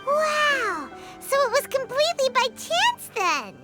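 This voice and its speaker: Very squeaky, curious little fairy. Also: female voice, sing-song, takes it slowly, heavily acted. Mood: curious, doubting. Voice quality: squeaky, childlike. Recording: loud music behind.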